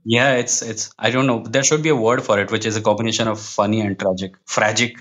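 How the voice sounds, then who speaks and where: A man's voice: on the low side, fairly even intonation, fairly quick, fairly bright tone. A man with an Indian accent talking conversationally as a podcast guest, reflective and opinionated as he lays out his points. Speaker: guest, comic book writer.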